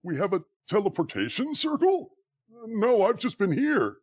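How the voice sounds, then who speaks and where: A hesitant, forlorn little gnome acted by a man, stranded and hungry. He speaks in a halting, apologetic way, as part of a comic audio play. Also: sits high, wildly swooping pitch, darkish tone, husky, heavily acted. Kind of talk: comedic audio skit acting.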